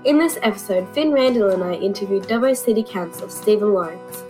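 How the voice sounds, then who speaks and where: A woman's voice: mid-range voice, expressive, quick pace, dark tone, soft background music, low-quality recording. Australian teen girl reading a podcast intro. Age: teens. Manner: clear, read-aloud delivery. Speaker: student podcast host.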